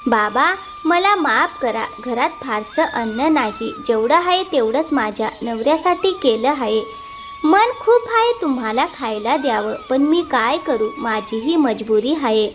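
Anxious, earnest housewife played by a woman for a moral cartoon, high voice, prominent music. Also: expressive, unhurried. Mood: apologetic. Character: worried, apologetic poor wife and mother. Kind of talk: animated moral story acting. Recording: music clearly behind the voice.

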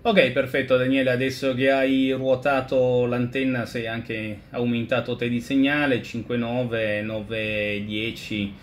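A man's voice: mid-range voice, flat delivery, measured pace, few pauses, slight background noise. Man, amateur radio operator. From Italy. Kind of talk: amateur radio contact, conversational. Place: indoor home radio station.

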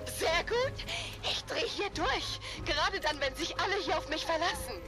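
A woman's voice: extremely high pitch, lively intonation, moderate pace. A woman voicing a resolute but nervous young heroine in a German-dubbed video game, speaking urgently and earnestly. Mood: panicked. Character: young heroine, resolute leader fighting her nerves. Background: game music.

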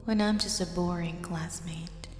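A woman plays a lonely, possessive teenage schoolgirl in an intimate ASMR roleplay. Her delivery is breathy and unhurried, slipping often into whispers. The voice rings in long, echoey reverb over faint music. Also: on the low side, steady tone, lightly acted. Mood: anxious brooding.

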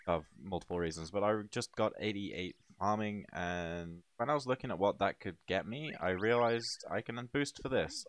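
Low, dry voice of an ill-sounding man. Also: no echo. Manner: rambling, offhand, with frequent restarts and swearing. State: a little ill. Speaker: game video commentator. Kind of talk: casual gameplay commentary.

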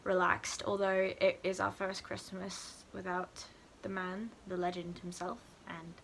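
A woman's voice: fairly low pitch, level tone, measured pace, gravelly, a bit bright, slight background noise. A young woman vlogging casually from her living room, talking in an informal, candid, rambling way. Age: young adult. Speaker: student vlogger. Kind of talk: casual vlog chat to camera. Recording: music playing behind. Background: music.

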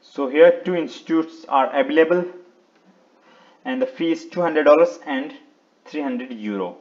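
Man giving halting tutorial, muffled recording. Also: fairly high pitch, darkish tone. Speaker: video tutorial presenter. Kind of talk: step-by-step website walkthrough, unscripted screen narration.

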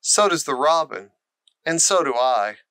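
A man's voice: on the high side, expressive, a little slow, bright, forceful tone, clear, resonant tone, no echo, studio quality. A man voicing a friendly, eager country boy who loves animals in a storybook reading. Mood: cheerful.

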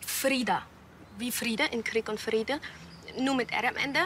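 A woman's voice: a little high, fairly even intonation, unhurried, a bit bright, a little hiss or hum. An actress playing a polite but evasive young woman with a Russian accent and clipped, non-native phrasing.

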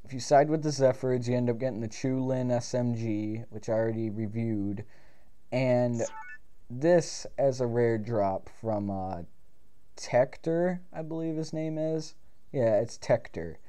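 Stuffed-up young man, mellow voice. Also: on the low side. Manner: rambling, restarts sentences, swears casually. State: stuffed-up nose, getting over a cold. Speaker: gaming video host. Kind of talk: casual gameplay commentary. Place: small recording room.

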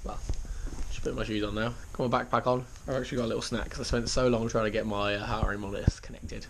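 Croaky man on an action cam. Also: lowish voice, little pitch movement, fast talker. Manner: casual, mumbled asides to camera. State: slightly croaky, sweaty after exercise. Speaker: vlogger. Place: home room and outdoors. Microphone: handheld action camera. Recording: noisy action-camera sound.

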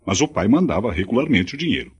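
An actor playing a gentle, resigned, educated drifter in a Brazilian radio drama, with formal diction. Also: male voice, fairly low pitch, very animated, quick pace, husky, muffled recording, full character voice. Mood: explanatory.

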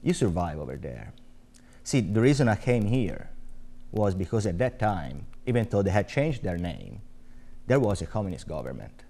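A man's voice: low-pitched, very animated, gravelly, dark tone. A man in his forties with an Italian accent, a guest chatting on a TV studio set, chuckling often. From Italy. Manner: chuckles often, measured and friendly. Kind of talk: casual studio chat. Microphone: lapel.

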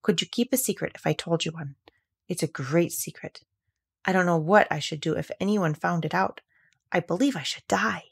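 A woman's voice: lowish voice, normal speed, vocal fry, husky, full character voice. A woman voicing a shy little girl who asks eager, earnest questions.

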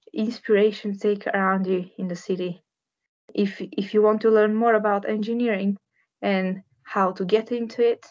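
This is a woman in her twenties with a Bulgarian accent. She gives an informal careers talk to camera in a flat, even tone, her pitch moving very little. The sound is slightly dull and lo-fi, as from a computer's webcam microphone. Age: 20s. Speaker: civil structural engineer, careers ambassador. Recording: dull, muffled webcam audio.

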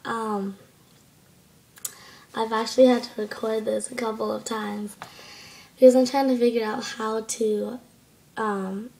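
Teen girl vlogger, hoarse from a cold, gravelly and fairly monotone, chatty and full of ums, close on a laptop webcam. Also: on the high side, a little slow, pauses often, poor audio. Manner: chatty, rambling, many fillers. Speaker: exchange student vlogger. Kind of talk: casual video diary. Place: small bedroom indoors at night. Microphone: laptop webcam at close range.

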